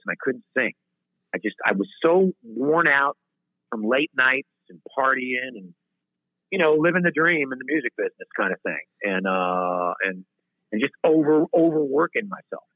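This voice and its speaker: A man's voice: mid-range voice, dry recording. Rambling man on a tinny line. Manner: rambling, self-interrupting, laughs at himself. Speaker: singer-songwriter guest. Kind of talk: storytelling interview chat. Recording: tinny phone-line audio.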